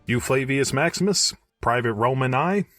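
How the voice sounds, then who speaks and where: A man's voice: medium pitch, expressive, normal speed, fairly bright tone, no room sound, soft background music, natural voice. A man playing an agitated, paranoid ancient Roman in an old-time radio comedy, urgent and melodramatic. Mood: suspicious, jittery.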